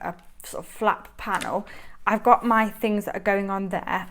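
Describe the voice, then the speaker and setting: Chatty Mancunian woman vlogger. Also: mid-range voice. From Manchester, England. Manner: chatty, enthusiastic, laughs often. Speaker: planner vlogger. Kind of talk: casual show-and-tell chat.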